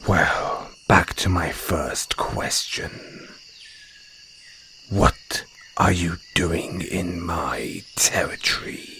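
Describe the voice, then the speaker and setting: A man acting a territorial male naga in an intimate audio roleplay, speaking in a hushed, deliberate, breathy voice with long pauses. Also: low voice, slight background noise, full character voice. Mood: wary, questioning. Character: wary, territorial male naga, softening into flirtatious care. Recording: jungle insect ambience behind voice. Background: crickets and jungle ambience.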